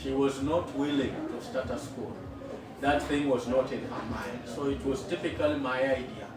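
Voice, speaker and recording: A middle-aged man with a Kenyan accent, a school founder, telling his story in an interview in an earnest, unhurried way with frequent fillers. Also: medium pitch, steady tone. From western Kenya. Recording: echoey room, far from the mic, with noticeable background noise.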